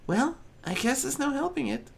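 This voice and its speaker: A narrator voicing a timid, gentle female pony who mutters softly and anxiously in a storybook reading. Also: sits high, expressive, normal speed, gravelly, pitched above the performer's own voice, exaggerated voice. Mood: fretful worry. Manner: soft muttering, fretful.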